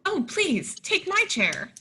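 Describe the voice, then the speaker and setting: A woman's voice: very high voice, wildly swooping pitch, takes it slowly, bright, forceful tone, heavily acted. A woman playing a prim, polite, easily shocked young woman, eager and fussy about manners, in a staged play reading. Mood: polite, flustered.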